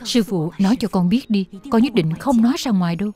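A woman playing an eager, respectful temple helper in an animated Buddhist drama. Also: medium pitch, fairly quick, faint music behind, close to an everyday voice.

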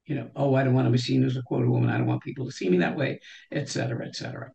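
A woman's voice: rumbling low, big pitch swings, very fast, gravelly, warm tone, dull audio. An older woman, a guest on an interview show, speaks from home over a video call, with many restarts and "you know" fillers. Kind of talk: interview conversation. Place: home room with shelves.

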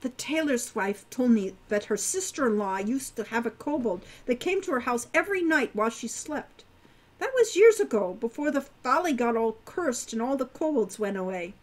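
A cheerful young centaur girl from a storybook, polite and slightly stiff in her phrasing, voiced by a woman reading aloud. Her voice sits high and moves lively. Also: normal speed. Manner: stiff formal phrasing without contractions.

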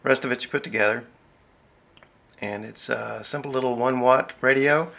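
A middle-aged man with a gravelly voice giving a casual, halting hands-on demonstration at an indoor desk. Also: medium pitch. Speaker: amateur radio hobbyist demonstrating gear. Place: small indoor radio desk. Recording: steady noisy background hiss and ambience.

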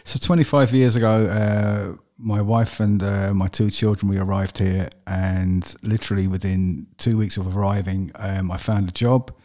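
A middle-aged man from London with a London accent, a podcast guest telling his story plainly, with steady fillers. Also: on the low side, moderate pace, hardly any pauses. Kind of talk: personal interview. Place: podcast studio with brick wall. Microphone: studio desk mic with headphones.

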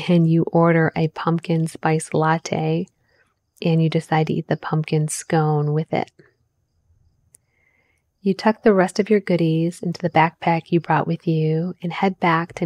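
A woman narrating a scripted guided visualization in a gentle, measured manner, with deliberate pauses. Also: low-pitched, dry recording. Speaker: meditation guide, mindset coach. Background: background music.

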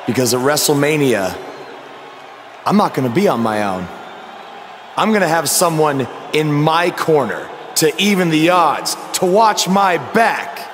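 A man's voice: a little high, very animated, measured pace, fairly bright tone. A man playing an earnest young underdog wrestler in a video game story. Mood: defiant. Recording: noisy arena and backstage ambience.

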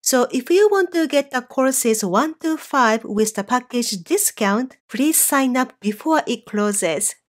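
A woman teaching a Japanese course online, explaining clearly and slowing down on example phrases. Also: high-pitched, animated. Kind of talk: scripted online lesson.

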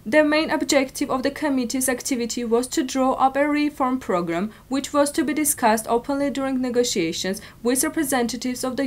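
A young woman with an Armenian accent reading scripted news in a formal, stilted way. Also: high-pitched. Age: young adult. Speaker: news presenter.

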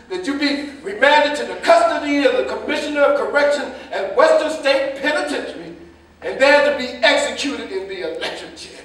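An actor playing an older father, accusing and grief-stricken, in a theatrical staged reading. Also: very high voice. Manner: accusing, forceful, repeats phrases for emphasis. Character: grieving, furious older father disowning his murderer son. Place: indoor hall, staged reading. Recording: echoey hall, distant microphone.

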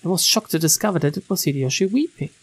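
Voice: mid-range voice, animated, fast, a bit bright, slightly rough. A streamer reading visual novel text aloud in a dramatic narrating style. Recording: game music behind the voice.

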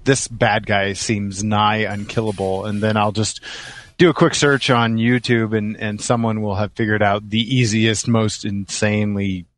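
A man hosting a podcast, talking casually about video games in a halting, rambling way. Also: fairly low pitch, level tone. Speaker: podcast host.

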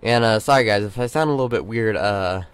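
A man's voice: lowish voice, fast talker, clear, resonant tone, dry recording. A young man with a sore throat and a stuffy nose, rambling through casual let's play commentary with many fillers and restarts. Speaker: gaming channel host.

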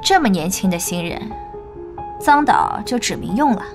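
A woman's voice: medium pitch, big pitch swings, normal speed, over music. A woman playing a skeptical, shrewd shareholder in an audio drama, pointed and businesslike. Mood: doubtful. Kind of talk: Chinese audio drama acting.

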